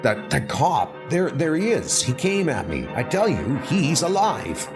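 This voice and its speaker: Panicky crook on the run played by a male reader, stammering, wildly varied pitch, music underneath. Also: very animated, unhurried. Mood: terrified. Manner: stammering, exclamatory. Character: panicky escaped male convict, desperate small-time crook.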